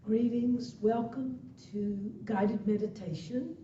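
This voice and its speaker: A woman meditation instructor leading a guided practice in soothing, deliberate phrases with long pauses between them. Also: fairly even intonation, mellow. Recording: muffled, echoey, distant web-call audio, faint rumble.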